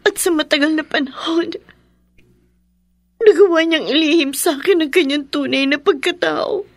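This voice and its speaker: An actress playing a grieving, gentle young daughter in a radio drama, speaking in a tearful, halting way. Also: female voice, high voice, no room sound, studio quality. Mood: tearful grief. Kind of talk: melodramatic radio drama acting.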